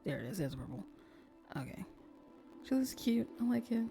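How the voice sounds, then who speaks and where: A woman streamer chatting casually while she plays, soft-spoken and sometimes nearly whispering. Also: fairly high pitch, very animated, normal speed, creaky, faint music behind. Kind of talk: casual gameplay commentary. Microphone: desk streaming mic.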